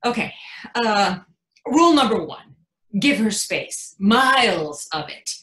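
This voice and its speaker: A woman's voice: mid-range voice, takes it slowly. A woman acting a wry, blunt, seasoned mother of a bride in a readers theatre play, with knowing, emphatic delivery. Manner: emphatic, knowing, deadpan punchlines. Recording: echoey room.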